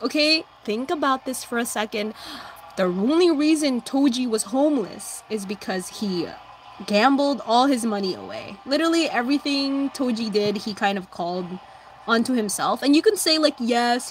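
A young woman streamer with a slightly high voice talks and giggles casually while she draws, reading chat and humming to herself. Her voice sounds a little hoarse, and a faint hiss runs underneath. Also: lively intonation, moderate pace. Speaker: livestreamer drawing anime fan art.

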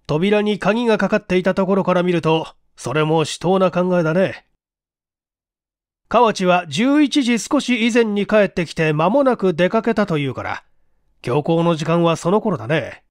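A man voicing a composed middle-aged police investigation chief who reasons aloud with measured authority in an audiobook. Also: sits high, animated, no echo, clean studio sound. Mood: reasoning. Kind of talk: audiobook character acting.

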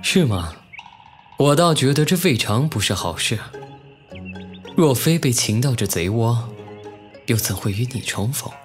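An actor playing a proud, teasing and wistful young nobleman officer in an ancient-costume audio drama, with measured classical phrasing. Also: mid-range voice, animated, faint music behind, close to an everyday voice. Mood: teasing, bitter. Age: young adult.

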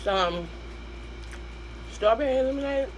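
Hoarse Southern woman, 30s, home eating-show host, chatty and warm with viewers, faint background noise. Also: sits high, expressive, slow pace, rough recording. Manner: chatty, addresses viewers as y'all, hums while eating. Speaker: mukbang host. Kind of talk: casual eating-show chat. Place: small room at home, indoors.

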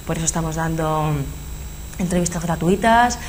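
A woman's voice: sits low, normal speed, a little hiss or hum. A woman with a Spanish accent, a speech therapist guest in a TV studio interview, explaining animatedly with many fillers. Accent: Spanish from Spain. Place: local TV studio.

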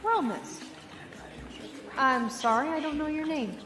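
A composed female professor in an audio drama. She probes and questions in a lightly high, academic voice, echoing in a big room over music. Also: measured pace. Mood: skeptical, curious. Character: probing, composed female university professor leading class debate. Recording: echoey hall reverb with music.

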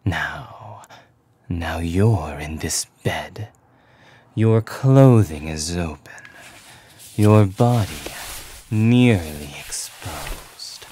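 A man narrating a scripted ASMR story in a hushed, breathy whisper with long pauses. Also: sits low, lively intonation, a little hiss or hum. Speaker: voice actor narrating an audio roleplay.

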